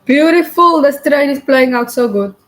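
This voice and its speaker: A woman's voice: sits high, lively intonation. A young woman whose first language is Afrikaans, chatting casually on a live stream while she trades, often restarting and correcting herself. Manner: halting, many restarts and self-corrections.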